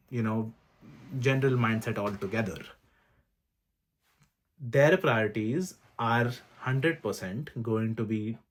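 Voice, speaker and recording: A man in his twenties, from India, giving earnest, casual advice to camera. He lists things one by one and fills pauses with frequent ums. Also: on the low side. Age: 20s. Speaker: vlogger sharing advice. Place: room with awards on display.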